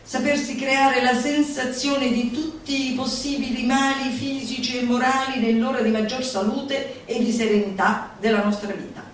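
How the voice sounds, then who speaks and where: A woman performer reading a manifesto as theatrical declamation, deliberate and declamatory, with dramatic pauses. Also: high voice, steady tone. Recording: roomy, echoing, distant mic, faint noise.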